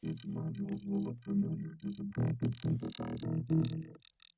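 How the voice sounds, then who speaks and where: A man reading a scripted product demo, his voice processed through a vocoder with pitch-snapped, synthetic distortion. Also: huge pitch swings, moderate pace, creaky, mellow, breathy edge, faint music behind. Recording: voice warped through synth, musical tones.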